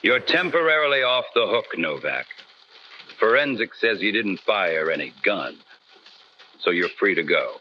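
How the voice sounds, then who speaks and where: An actor playing a gruff, impatient police detective in an old-time radio crime drama, curt and suspicious. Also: male voice, on the low side, big pitch swings, a little slow, dull audio, a little hiss or hum. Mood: curt, businesslike.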